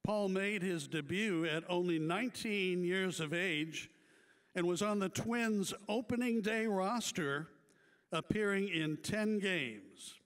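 A man reading prepared tributes as an announcer at a hall of fame banquet, in a measured, deliberate way with small playful asides. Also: high voice, a bit bright, clear, resonant tone. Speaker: awards ceremony announcer.

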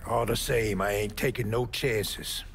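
A man's voice: on the low side, steady tone, measured pace, creaky, a bit bright, slight background noise. An actor playing a weary, blunt older American mob man with folksy, streetwise talk in a video game. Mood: wary, confiding. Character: weary, foul-mouthed old mob man. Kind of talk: video game cutscene dialogue.

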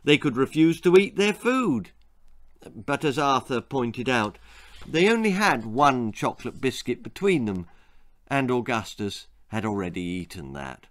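A man in his sixties reading a children's story aloud in an expressive, theatrical way. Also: medium pitch, unhurried. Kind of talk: dramatic children's story narration. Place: small book-lined room indoors.

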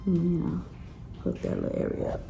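A woman in her thirties with a raspy voice, chatting casually and laid-back through a home vlog, sometimes dropping to a soft aside. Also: on the low side, flat delivery, moderate pace, roomy sound. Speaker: home vlogger. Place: living room in a house. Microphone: phone camera held or set on a table. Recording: background music under the voice.

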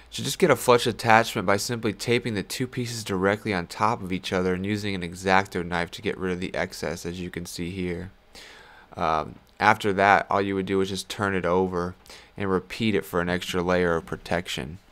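A man giving a casual, low-key how-to voice-over as the host, speaking in an unscripted, slightly hesitant way. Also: fairly even intonation, moderate pace, a bit bright.

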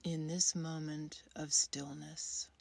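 A woman guides a meditation at a very slow, soothing pace. Her voice is slightly low, soft and airy, with gentle pauses between short phrases. The sound is close and dry. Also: little pitch movement, creaky, slightly rough, no room sound. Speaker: guided meditation leader, creativity coach.

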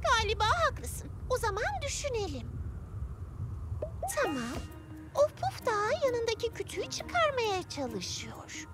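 A woman dubbing a cheerful, brave little girl for a cartoon, speaking gently and encouragingly. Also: extremely high pitch, huge pitch swings, measured pace, over loud music, heavily acted. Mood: thoughtful. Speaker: lead character.

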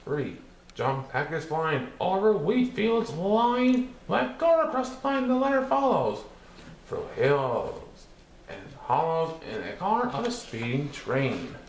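A man with a fairly high, very animated voice reads a children's rhyming storybook aloud. His delivery is theatrical and sing-song, following the beat of the verse. The room adds clear echo. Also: slow pace. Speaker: storybook reader. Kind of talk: expressive children's picture book read-aloud. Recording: roomy echo with some background noise.

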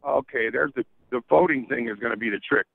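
A man's voice: medium pitch, quick pace, vocal fry, breathy edge, dry recording, phone-quality sound. A man answering as a quiz contestant in casual, joking banter.

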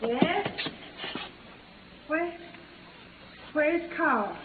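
A woman's voice: high voice, lively intonation, very slow, in a large hall, exaggerated voice. Timid frightened girl, tinny old radio. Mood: frightened, subdued. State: timid, fearful. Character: frightened, subdued young woman in hiding. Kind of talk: 1950s radio drama acting.

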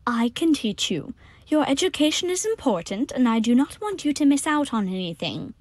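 Woman playing a gentle, worried monster mother, lively pitch. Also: moderate pace, no room sound, studio quality. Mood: concerned, firm. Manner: formal, careful, no contractions. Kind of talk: fan audio drama acting.

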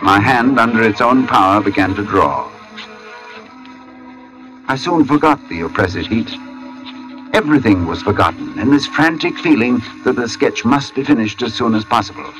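An actor playing a brooding, haunted middle-aged artist in an old-time radio suspense drama, narrating in formal, deliberate phrases with dramatic pauses. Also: male voice, medium pitch, expressive, over music, natural voice, rough recording. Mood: tense, mesmerized. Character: brooding forty-year-old male artist, haunted, precise, foreboding. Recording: vintage radio broadcast recording.

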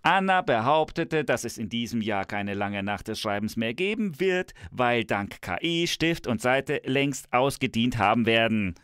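Comic podcast part: smug tech-believer professor, male actor, emphatic and theatrical, animated pitch, dry studio recording. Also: a little slow, few pauses, clean tone, no room sound. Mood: insistent. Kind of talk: scripted comic dialogue. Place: radio studio. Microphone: studio microphone.

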